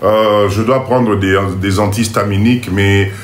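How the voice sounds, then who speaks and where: A man's voice: fairly low pitch, steady tone, unhurried. A man with a Congolese French accent and a blocked nose from a cold, hosting a motivational live stream in an emphatic, repetitive, urging way. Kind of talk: motivational live talk to viewers. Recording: roomy echo, faint background noise.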